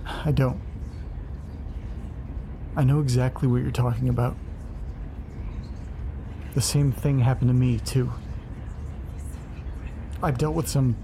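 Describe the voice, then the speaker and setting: A man's voice: medium pitch, background noise. An actor playing an earnest, reassuring male interviewer in an audio drama. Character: earnest, reassuring male interviewer who has suffered similarly. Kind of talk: audio drama acting, conversational.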